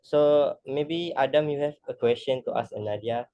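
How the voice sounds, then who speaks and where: A man's voice: mid-range voice, normal speed, no room sound, muffled recording. A young man from Malaysia hosts a casual student chat in English. He speaks hesitantly and fills his sentences with ums and likes. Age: young adult. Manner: hesitant, many fillers. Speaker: interviewer, student teacher. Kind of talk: casual conversation.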